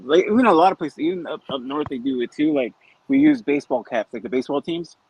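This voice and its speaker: Fields: quick-talking Californian man, lo-fi. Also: a little high, animated, crowd noise. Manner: laid-back, says "bro" and "like". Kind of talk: casual podcast chat. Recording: dull lo-fi audio.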